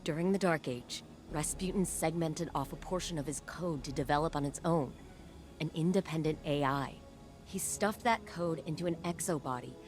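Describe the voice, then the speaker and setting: A woman playing an earnest, driven scientist ally in a video game, explaining things in a conversational, urgent way. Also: low-pitched. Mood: excited, explaining.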